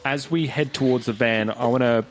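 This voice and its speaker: A man's voice: mid-range voice, moderate pace, faint music behind. A man playing a tabletop roleplaying game, casually talking through his character's actions and questions.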